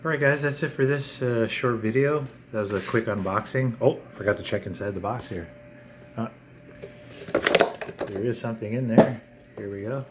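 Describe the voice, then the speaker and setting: A man hosting a casual, unscripted unboxing vlog, talking in a halting way with frequent pauses. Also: medium pitch. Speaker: video channel host. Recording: clear background noise.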